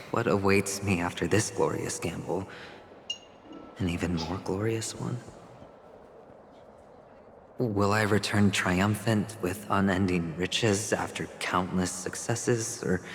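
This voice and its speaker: An actor playing a self-assured young male gambler in a game cutscene, speaking in a wry, measured way. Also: sits low, long reverb tail, slight background noise.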